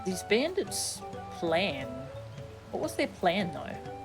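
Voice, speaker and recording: Lady game streamer, lowish voice, casual n wry, bit of game music behind. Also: big pitch swings, slow. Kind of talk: live stream chat, reading game dialogue aloud.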